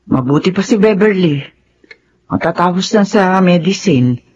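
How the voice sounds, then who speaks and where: A woman's voice: lowish voice, lively intonation, moderate pace, a little mellow, lightly acted. An actress with a Filipino accent playing a fretful, exasperated middle-aged mother in a radio drama. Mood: fretful, disapproving. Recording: dull lo-fi sound, faint noise.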